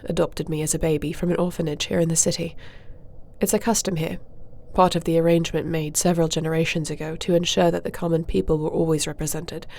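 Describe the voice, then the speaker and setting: A woman playing a dignified, dutiful young warrior princess in a fantasy audio roleplay, speaking formally and with measured, stately diction. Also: deep voice, vocal fry, warm tone.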